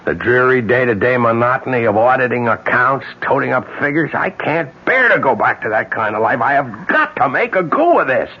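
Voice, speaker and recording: An actor with an American accent playing an earnest, determined innkeeper husband in an old-time radio drama. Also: male voice, mid-range voice, big pitch swings, a little fast, hardly any pauses, slightly rough. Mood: desperate. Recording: muffled radio recording, faint noise.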